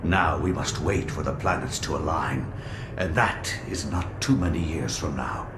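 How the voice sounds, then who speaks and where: A man playing a menacing servant of an ancient dark god in a video game, commanding and theatrical. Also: a bass voice, measured pace. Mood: scheming, menacing. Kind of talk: dramatic video game voice acting. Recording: echoing, noisy game cutscene audio.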